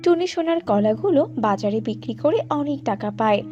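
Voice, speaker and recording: A woman narrates a children's story in a high voice. The recording sounds slightly dull. Music plays underneath. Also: expressive, moderate pace, warm tone.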